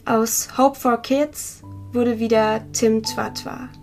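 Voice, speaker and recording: A woman reading scripted documentary narration in a calm, measured way. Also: on the high side. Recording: soft music underneath.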